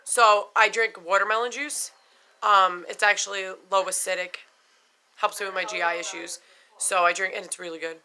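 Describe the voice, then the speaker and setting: Bright-voiced middle-aged lady vlogging, blunt and chatty, lots of "you know"s. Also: medium pitch, steady tone, moderate pace. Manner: frank and chatty, swears casually, many fillers. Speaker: vlogger sharing denture experiences. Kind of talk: casual unscripted vlog talk.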